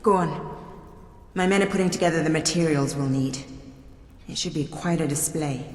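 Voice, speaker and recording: Woman, acting no-nonsense rebel leader, forthright, slightly low voice, fantasy game, echoey room. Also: moderate pace, a little mellow, natural voice. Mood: firm. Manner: firm, forthright. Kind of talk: dramatic game dialogue. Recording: echoey hall, faint background noise.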